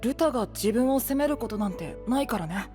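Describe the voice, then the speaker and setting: A boyish, prickly youth who hides a caring side. His delivery is tart and sulky in anime drama style, with music clearly playing behind. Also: extremely high pitch, lively intonation, fairly quick. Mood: concerned. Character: prickly, boyish young man, secretly caring. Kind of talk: Japanese anime voice drama acting.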